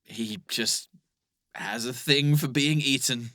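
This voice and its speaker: A man hosting an improv comedy podcast, playing scenes with playful deadpan patter and chatting casually. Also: medium pitch, slow pace, no room sound, clean studio sound.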